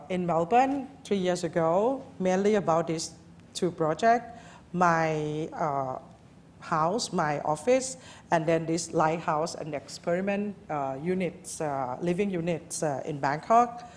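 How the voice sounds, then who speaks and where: A woman's voice: on the low side, lively intonation, slow pace, slight background noise. A woman from Thailand, giving a live architecture lecture at a lectern, speaking informally with many fillers and restarts.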